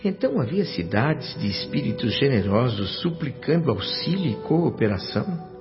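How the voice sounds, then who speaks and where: An earnest man new to the afterlife in a radio drama, troubled and full of astonishment. His mid-pitched voice is dramatic and expressive. The sound is somewhat dull, with music behind it. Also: moderate pace. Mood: wondering, troubled. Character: earnest, astonished male newcomer spirit, former doctor. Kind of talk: radio drama dialogue and first-person narration. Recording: slightly dull band-limited sound with music.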